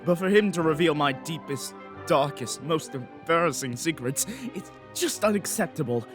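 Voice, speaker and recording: A man plays a haughty young aristocrat in a comedic animated parody. The voice is high and swings dramatically, vain and quick to take offence, with stiff old-fashioned diction over light background music. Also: close to an everyday voice. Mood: pompous, indignant. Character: pompous, vain, easily offended young nobleman, eighteen. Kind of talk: comedic fan-dub voice acting.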